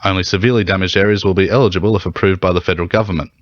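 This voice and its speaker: A man's voice: deep voice, fairly even intonation, racing, dry recording, dull audio. A man with an Australian accent reading scripted radio news.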